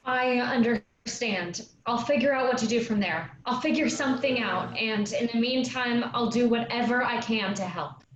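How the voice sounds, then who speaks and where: A woman's voice: a little high, moderate pace, few pauses, natural voice. A woman playing an eager young girl who is keen to help and belong, in a staged play reading. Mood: earnest, pleading. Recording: roomy, noisy, muffled sound.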